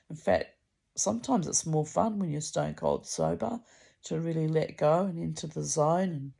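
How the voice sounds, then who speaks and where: A middle-aged woman with a deep, gravelly voice, rambling candidly in a sweary, dramatic, confessional monologue. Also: mellow. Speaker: personal vlogger.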